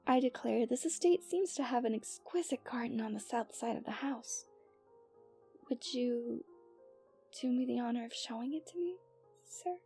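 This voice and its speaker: Woman acting a mellow, genteel fairytale princess. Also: fairly high pitch. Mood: courtly, delighted. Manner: formal, genteel fairytale phrasing with breathy sighs. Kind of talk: scripted romantic ASMR roleplay.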